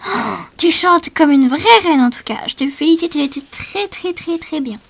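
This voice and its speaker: Woman playing high-voiced, gushing female talent-show coach. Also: animated, vocal fry, a little hiss or hum. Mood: admiring. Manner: hesitant, repetitive, halting phrasing. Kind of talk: amateur improvised character dialogue.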